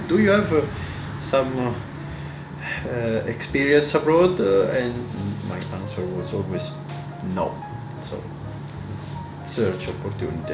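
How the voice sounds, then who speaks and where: A young man from northern Italy introduces himself slowly, stopping often to search for words. His voice rings in an echoey room, with guitar music behind him. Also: medium pitch. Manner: halting, many uhs and restarts. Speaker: volunteer. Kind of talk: self-introduction to camera.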